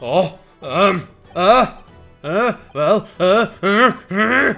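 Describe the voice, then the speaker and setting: A man plays a bumbling army sergeant from a video game, high and sharp in voice. He is stiffly obedient and formal, stammering when cornered, with music playing behind him. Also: animated, very slow, creaky, exaggerated voice. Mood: flustered.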